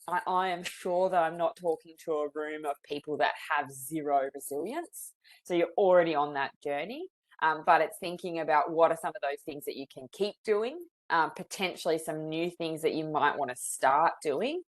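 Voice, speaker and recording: A woman with an Australian accent, a psychologist in her thirties, leading a webinar in a friendly, chatty way with many fillers. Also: deep voice. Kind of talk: webinar presentation. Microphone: video call.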